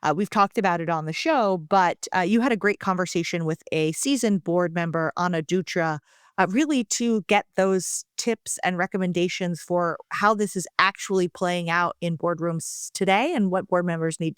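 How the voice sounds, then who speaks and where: A woman co-hosting a podcast, chatting briskly with a colleague before the interview. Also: lowish voice, clear, resonant tone, dry recording, studio quality. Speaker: podcast co-host. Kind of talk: podcast chat.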